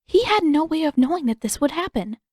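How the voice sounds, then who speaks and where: A woman's voice: sits high, sing-song, brisk, a little mellow, dry recording, clean studio sound, voice pushed up. A woman voicing a polite, protective teenage girl who hisses at her brother. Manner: polite, hissing when annoyed.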